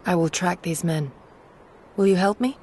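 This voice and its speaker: A woman's voice: fairly low pitch, normal speed, a little mellow, slight background noise, close to an everyday voice. A woman playing a grave, resolute warrior retainer in a video game, with formal, archaic diction. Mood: grave, cautious. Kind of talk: video game cutscene acting.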